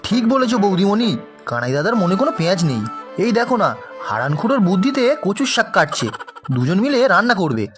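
A woman's voice: medium pitch, big pitch swings, quick pace, close to an everyday voice. A woman voicing an eager, helpful young village helper boy in a cartoon, obliging in manner. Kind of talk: animated cartoon dialogue. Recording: music behind the voice.

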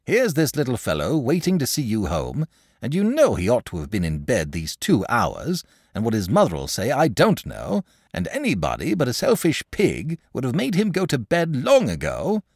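Man as a kindly, firm storybook knight, animated. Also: mid-range voice, husky, dry recording, clean studio sound, full character voice. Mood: firm, sharp. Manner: reassuring but brisk and firm. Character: kindly, firm, gallant male saint-knight. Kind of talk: storybook character voice.

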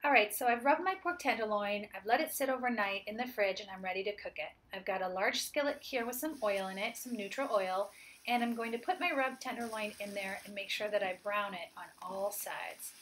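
A woman's voice: mid-range voice, fairly quick, fairly bright tone, rough recording. A woman in her forties, a chef, giving a friendly, upbeat cooking demonstration and explaining each step plainly. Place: home kitchen, indoors.

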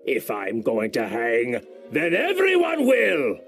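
A man voicing an old, hot-tempered sea captain who shouts in defiant outbursts. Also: a little high, very animated, slow pace, gravelly, soft background music, pitched above the performer's own voice, heavily acted. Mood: raging.

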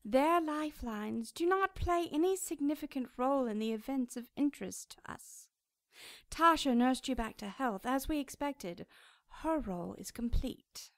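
A high, dry-sounding cherub from a radio drama. The angel is cold and imperious, choosing each phrase formally and looking down on mortals. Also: female voice, animated, no room sound. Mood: cold, detached. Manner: formal, stately, measured phrasing.

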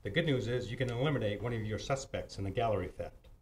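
An actor playing a teasing, cocksure police homicide detective in an old-time radio mystery, with smug, clipped delivery. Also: male voice, on the low side, quick pace, slight background noise, lightly acted. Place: theatre stage, live performance. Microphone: stand mic on stage.

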